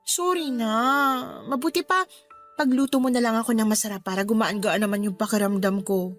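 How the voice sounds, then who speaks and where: A woman's voice: on the high side, normal speed, soft background music, lightly acted. A woman playing a hurt, stubborn young girlfriend in a radio drama, with a pouty, reproachful manner. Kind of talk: Tagalog radio drama acting.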